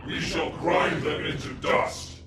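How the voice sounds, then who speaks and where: An actor playing a fierce, devout alien soldier in a video game, shouting a chanted oath. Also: male voice, fairly high pitch, steady tone, slow pace. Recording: echoey, very noisy ambience.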